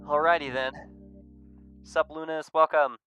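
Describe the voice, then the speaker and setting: Man acting a loud, forceful animal drinking buddy. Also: a little high, fairly even intonation, a little slow, soft background music, voice pushed up. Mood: jovial.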